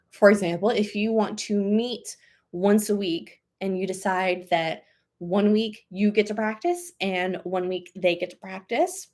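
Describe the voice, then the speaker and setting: A woman in her thirties hosting a live question-and-answer stream as a language coach, talking casually and upbeat with lots of "um" and "like". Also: medium pitch. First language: English. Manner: chatty, upbeat, many fillers like "um" and "like".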